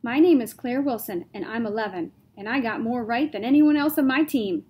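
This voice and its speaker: A woman voicing a sugary, two-faced schoolgirl who sounds bright and boastful. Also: on the high side, a little fast, breathy edge.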